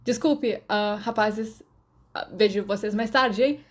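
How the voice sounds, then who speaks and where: A woman playing a brisk, friendly, easily flustered teenage boy in an audiobook, energetic and casual. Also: mid-range voice. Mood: flustered, awkward. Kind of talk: audiobook character dialogue.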